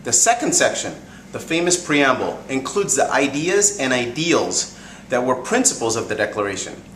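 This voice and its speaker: A man giving an earnest, measured, prepared introduction as a presenter. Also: mid-range voice, very animated, fairly bright tone. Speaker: presenter introducing a group reading. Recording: echoey room, faint background noise.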